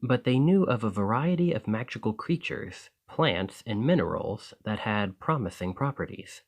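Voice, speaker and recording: A man's voice: lowish voice, animated, normal speed, creaky. A man playing a friendly, earnest, easily flustered alchemist in an ASMR roleplay, talking conversationally to the listener. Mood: enthusiastic explaining. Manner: conversational, enthusiastic, hesitant when embarrassed.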